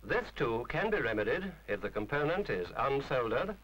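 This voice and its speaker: A man's voice: medium pitch, very animated, moderate pace, a bit bright, soft background music, low-quality recording. A man reading a scripted instructional film narration in a formal, precise, didactic manner.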